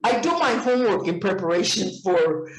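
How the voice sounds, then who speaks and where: A woman's voice: mid-range voice, normal speed, reverberant, low-quality recording. A woman in her seventies from Puerto Rico, talking eagerly on a video call and often repeating words as she goes. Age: 70s. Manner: enthusiastic, repeats words when excited. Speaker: participant. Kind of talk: casual video-call chat.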